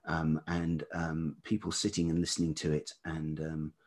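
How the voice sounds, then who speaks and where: A man speaking as an interview guest in reflective, casual conversation, halting thoughtfully as he searches for words. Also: a bass voice, completely flat delivery. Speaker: interview guest, writer and musician.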